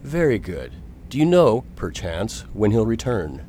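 A man playing a formal, courteous Victorian gentleman who narrates his own adventures in a radio drama. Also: fairly low pitch, very animated, slight background noise. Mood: composed. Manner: formal, courteous, measured old-fashioned English. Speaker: narrator and lead character.

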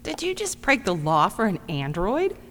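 A woman's voice: medium pitch, huge pitch swings, moderate pace, fairly bright tone. Wry female medical examiner, acted by a woman, blunt, swooping intonation, long reverb, faint hum. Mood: wry, matter-of-fact. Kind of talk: audio drama acting.

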